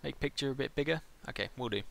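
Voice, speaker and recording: A man's voice: mid-range voice, quick pace, gravelly, fairly bright tone. A man hosting a live stream, chatting casually as an interviewer. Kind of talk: casual livestream interview chat.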